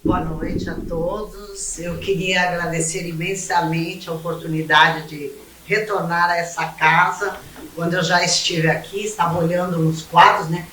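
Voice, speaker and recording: A woman, giving a measured panel presentation as an engineer. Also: on the low side, level tone, pushed and bright, a little hiss or hum, low-quality recording. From Rio Grande do Sul, Brazil. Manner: measured, slide-by-slide presenting with frequent "né?". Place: event hall, seated at a panel table.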